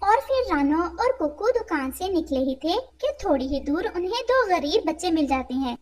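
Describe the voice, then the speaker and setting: A woman's voice: very high-pitched, very animated, normal speed. A woman reading scripted narration for a children's story as its narrator.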